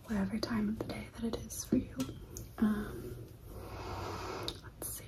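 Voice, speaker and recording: Whispering young woman, slow ASMR. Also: fairly high pitch, poor audio. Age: 20s. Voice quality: breathy whisper. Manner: soft, unhurried, repeats words slowly. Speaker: ASMR video creator. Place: living room, indoors.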